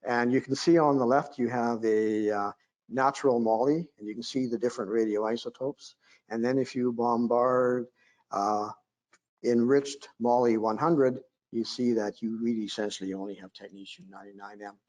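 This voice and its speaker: A man's voice: mid-range voice, fairly even intonation, moderate pace, darkish tone, rough recording. A man giving a technical conference lecture over a video call, with a droning voice, often hesitating with fillers and restarts.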